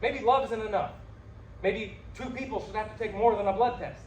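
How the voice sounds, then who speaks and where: A woman's voice: mid-range voice, brisk, distant mic, natural voice. A woman acting a practical young newlywed husband in a stage comedy-drama, dry, sarcastic and exasperated. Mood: exasperated, cutting. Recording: echoey room with background noise.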